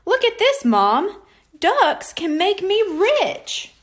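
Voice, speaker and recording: Woman acting little boy, storybook, high voice, excitable, scheming, pleading, lively swings. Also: takes it slowly, exaggerated voice. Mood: eager, pleading. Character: six-year-old boy, messy, eager, scheming.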